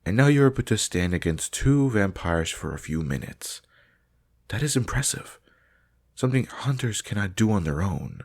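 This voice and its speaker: A man's voice: fairly low pitch, sing-song, normal speed, a little mellow. A man playing a stern, haughty vampire combat master in a roleplay audio drama, formal, blunt and commanding.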